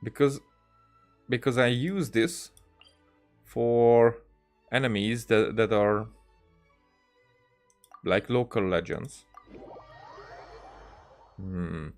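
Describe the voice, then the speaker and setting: Halting man streaming casually over music. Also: medium pitch, a little mellow. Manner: halting, rambling, repeats words as he tells anecdotes. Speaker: game streamer. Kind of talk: casual livestream chat while gaming.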